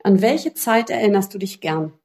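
Mellow-voiced woman interviewer. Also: medium pitch, expressive, moderate pace. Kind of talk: reading interview questions.

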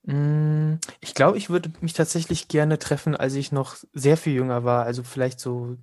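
A man's voice: on the high side, little pitch movement, moderate pace, a little mellow, dry recording. Young man, casual and chatty interviewee, dry close recording. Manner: chatty, laughs often, hesitant fillers.

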